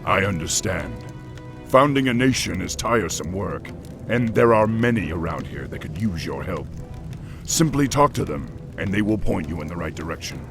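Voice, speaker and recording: A noble orc warchief whose somewhat low, gravelly voice stays measured and authoritative. His lines come from a game cutscene, with orchestral music ringing clearly behind. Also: expressive. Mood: welcoming.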